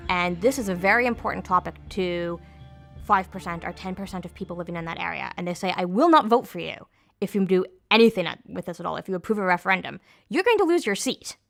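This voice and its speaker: A woman's voice: on the low side, animated, fast talker, vocal fry, fairly bright tone, soft background music. An Irish woman explaining things in a documentary interview, with fillers and self-corrections.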